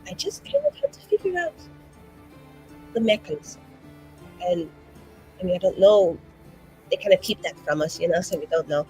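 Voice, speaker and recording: A woman's voice: lowish voice, huge pitch swings, moderate pace, pauses often, a little mellow, faint music behind, low-quality recording. A woman playing her character at a tabletop game, rambling with fillers and restarts. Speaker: player. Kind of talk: in-character roleplay chat.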